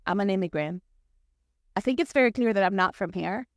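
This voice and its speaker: A young Salvadoran woman, a native Spanish speaker, talks to a congregation in a chatty way, dropping in "like" and "right?" as she goes. Her voice sits at a middle pitch. It sounds close and dry, with no room echo. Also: brisk. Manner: conversational, with frequent "like" and "right?". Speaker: church speaker. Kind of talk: personal talk to a congregation. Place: church sanctuary.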